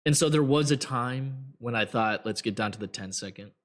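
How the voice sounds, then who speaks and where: A man hosting a trading show, giving blunt, rambling advice to viewers with many fillers, his voice getting hoarse. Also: medium pitch, moderate pace. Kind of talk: casual advice talk to viewers.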